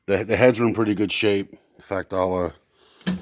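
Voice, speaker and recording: A man with a fairly low voice talks casually through a hands-on gear test. The sound is dry and close, with little room around it. He explains in a halting, unscripted way, circling back to correct himself. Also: fairly even intonation, no echo. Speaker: presenter testing vintage audio gear. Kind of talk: casual, unscripted demonstration talk.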